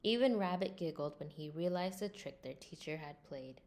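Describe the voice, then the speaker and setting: A woman reading a scripted children's storybook aloud in an expressive way. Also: low-pitched, normal speed. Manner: expressive, acts out character lines. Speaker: storybook reader.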